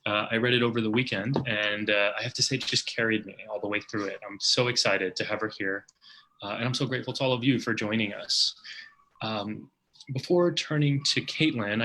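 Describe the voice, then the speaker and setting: A male host opens and guides an interview in an earnest, thoughtful way, often restarting his sentences and filling pauses with "uh". His voice sits on the low side yet has a bright, forceful edge. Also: a little hiss or hum, low-quality recording. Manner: earnest, with many fillers and restarts.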